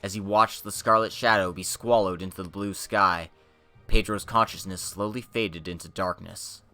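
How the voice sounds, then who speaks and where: Male narrator for a dramatic fantasy reading, bright and forceful tone, mid pitch, faint music underneath. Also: fairly even intonation, normal speed.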